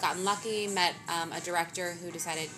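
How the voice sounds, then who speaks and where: A woman in her thirties talks about her business in a casual interview, candid and dryly funny. Her voice sits a little low. Music plays clearly in the background. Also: steady tone, fairly quick. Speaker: fashion business founder, guest.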